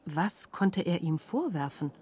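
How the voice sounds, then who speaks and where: A woman playing a prim narrator of a satirical tale, with formal, measured storytelling in a radio play. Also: on the low side, very animated, a little mellow, slightly rough, heavily acted. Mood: prim, ironic.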